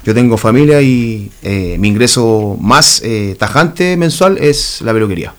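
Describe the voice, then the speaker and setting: A man's voice: medium pitch, expressive, measured pace. A Chilean man in his thirties, a hairdresser and urban artist, chatting as a guest in a radio studio interview, rambling and grateful. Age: 30s.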